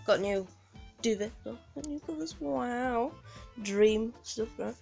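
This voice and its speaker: A woman's voice: on the high side, slow pace, vocal fry, darkish tone, faint music behind. A woman with a Yorkshire accent gives a rambling, casual walkthrough of a game from home, full of ums and restarts. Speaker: gaming guide creator.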